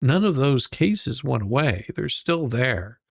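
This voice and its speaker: A man's voice: medium pitch, very animated, takes it slowly, vocal fry, no echo, studio quality. A man hosting his channel, reacting in casual commentary and rambling as he repeats words while he thinks. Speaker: channel host reacting to a stream.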